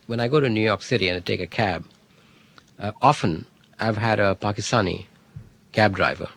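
A middle-aged man, a history professor telling an anecdote in an interview, thoughtful, with many fillers and pauses. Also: lowish voice, vocal fry, a bit bright, no room sound. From India. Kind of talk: interview, reflective storytelling.

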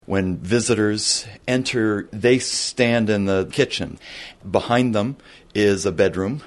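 A man's voice: fairly low pitch, slow. A man guiding a museum tour through an old tenement hallway, explaining in a matter-of-fact way. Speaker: museum guide. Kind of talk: guided tour talk.

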